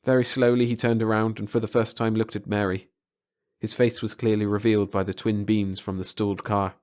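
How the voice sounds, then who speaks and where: A man's voice: lowish voice, level tone, brisk, darkish tone. A man reading a story aloud as an audiobook narrator, in a dramatic scripted style.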